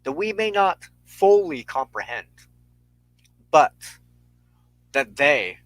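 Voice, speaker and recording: A man delivers spiritual teaching slowly and ceremoniously, like a formal sermon. His voice is bright and firm, recorded dry and close, and his phrasing is measured and solemn. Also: fairly high pitch, sing-song, dry recording, low-quality recording. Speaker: host of a weekly spiritual talk show.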